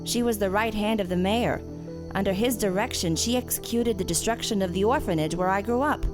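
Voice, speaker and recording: A woman's voice: medium pitch, fairly quick, over music, natural voice. An actress playing a young woman seeking cold, determined revenge for her orphanage. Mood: vengeful. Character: vengeful young woman killer, orphan raised. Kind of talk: audio drama acting.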